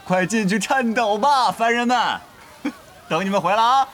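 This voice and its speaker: A man's voice: sits high, normal speed, a bit bright, slight background noise. A man playing a skeptical, sarcastic young online gamer in an audio drama, with a mocking, dramatic manner. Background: music.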